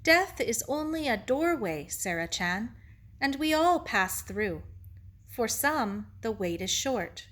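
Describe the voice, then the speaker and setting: A woman voicing a gentle, wise elderly Japanese man in a storybook, speaking in measured, spare phrases of broken English. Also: fairly high pitch, big pitch swings, exaggerated voice. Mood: gentle, consoling. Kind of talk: children's novel read aloud.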